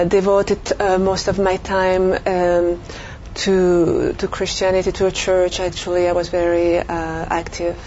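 Woman, lots of uhs, kinda muffled recording, faint hiss. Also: lowish voice. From Belgium. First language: French. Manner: hesitant, many "uh" fillers, soft trailing off. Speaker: interview guest. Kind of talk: recorded interview.

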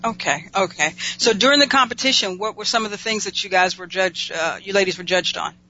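A woman's voice: mid-range voice, fairly quick, bright, forceful tone, dull audio, a little hiss or hum. A woman hosting an interview show, chatty and enthusiastic, often repeating her words. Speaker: talk show host.

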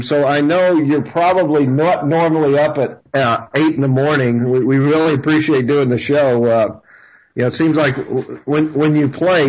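A man hosting a radio interview, speaking haltingly with many fillers and repeated words. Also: a little high. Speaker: radio host. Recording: dull lo-fi radio sound.

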